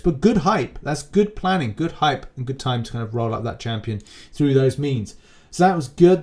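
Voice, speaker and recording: A man's voice: a little high, darkish tone. Male gaming channel host, informal and unscripted, talking in loose, repetitive, rambling commentary.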